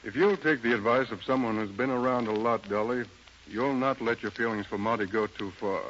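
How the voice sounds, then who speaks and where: Guy acting smooth jaded rich gentleman in old 30s radio play, muffled hissy recording. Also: mid-range voice, brisk, close to an everyday voice. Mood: wounded, cautioning. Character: suave, sophisticated, jaded man about town. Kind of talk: 1930s radio drama acting. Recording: dull, noisy 1930s radio recording.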